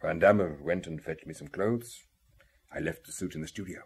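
An actor in a radio drama playing a guilt-ridden middle-aged family man, husky and halting as he confesses gravely. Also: deep voice, darkish tone, exaggerated voice. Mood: subdued, confessing.

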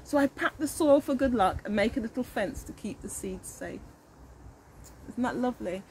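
Animated woman teacher reading a storybook. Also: on the high side, moderate pace. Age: middle-aged. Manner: animated, addressing children with questions. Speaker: early years teacher. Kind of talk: expressive read-aloud of a children's picture book. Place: outdoors in a leafy garden. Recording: faint outdoor background noise.

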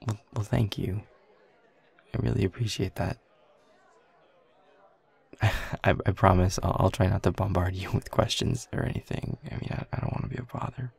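A man acts out a shy young coworker in an intimate audio roleplay. His voice is low, very breathy and heavily creaky. He talks slowly and hesitantly, flustered and quick to put himself down. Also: steady tone, a little mellow, full character voice. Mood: flustered, grateful. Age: young adult. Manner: hesitant, flustered, stammering, nervous chuckles. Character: shy, self-deprecating young man, new office coworker with a crush. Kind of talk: intimate audio roleplay, one side of a conversation.

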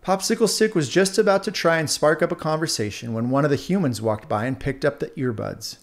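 A man narrating a scripted children's story aloud in an expressive storyteller's manner. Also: on the high side, a little fast. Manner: expressive, animated.

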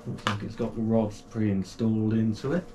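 A man chats his way through an unboxing at home. His voice sits a little low, and he talks with plenty of fillers and restarts. Background noise is clearly audible in the room. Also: level tone, normal speed. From United Kingdom. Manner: chatty and enthusiastic, with frequent fillers and restarts. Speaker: kayaking channel host. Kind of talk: casual product unboxing. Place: small home gaming room, indoors.

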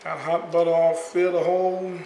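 A middle-aged man co-hosting a home cooking demonstration, talking in a relaxed, playful way with many fillers and repeated words. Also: on the high side, normal speed, slight background noise. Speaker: cooking show co-host. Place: home kitchen, indoors. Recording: echoey kitchen, faint ambience.

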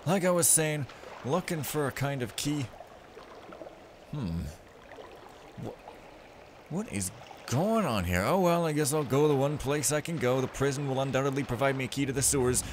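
A man's voice: medium pitch, a bit bright, a little hiss or hum. A man giving casual, rambling commentary while playing a stealth game, full of sarcastic jokes and asides. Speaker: let's play commentator.

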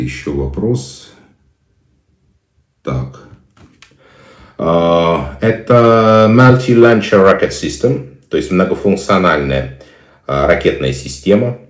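Very deep-voiced man on a stream. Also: darkish tone, echoey room. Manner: hesitant with frequent "э" fillers, emphatic repetition. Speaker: political commentator answering viewer questions. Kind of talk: live stream Q&A, reading viewer chat. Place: small indoor room. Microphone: phone camera close-up.

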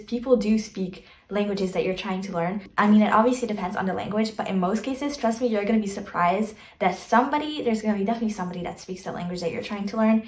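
A young woman giving earnest, chatty advice straight to the camera from her bedroom, speaking with emphatic, reassuring warmth. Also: medium pitch, echoey room. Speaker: vlogger. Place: bedroom, indoors. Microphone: camera in front, medium framing.

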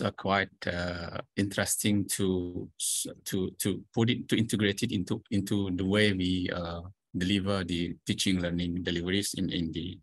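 A middle-aged man presenting at an online academic panel, halting and full of fillers. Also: low voice, no room sound. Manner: halting, frequent fillers and restarts. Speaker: panel speaker, professor. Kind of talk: conference presentation. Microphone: video call.

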